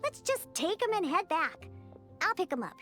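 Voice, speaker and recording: A proud, pouty little cat-girl from a video game. The voice is tiny and very high, and it bounces animatedly through indignant, emphatic phrases. Music plays softly underneath. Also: sing-song, normal speed, exaggerated voice. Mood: exasperated. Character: feisty, proud little cat-girl bartender who hates alcohol.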